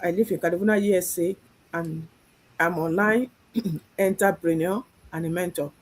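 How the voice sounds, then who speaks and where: A woman's voice: on the low side, normal speed. A woman from Nigeria hosting a live online stream, speaking earnestly and often repeating herself. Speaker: host.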